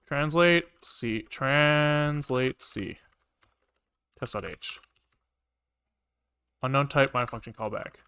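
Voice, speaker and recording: A man with a medium-pitched, clear and bright voice talks casually to himself as he codes on stream. His delivery is halting and exploratory, with half-finished thoughts and questions put to himself. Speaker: live-coding streamer, programmer. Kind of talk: casual thinking aloud while programming on a livestream.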